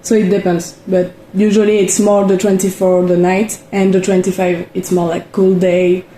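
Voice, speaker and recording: Mellow French woman, echoey room. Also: lowish voice, flat delivery, normal speed. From France. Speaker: interviewed volunteer. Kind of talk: casual interview answers. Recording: echoey room, faint ambient noise.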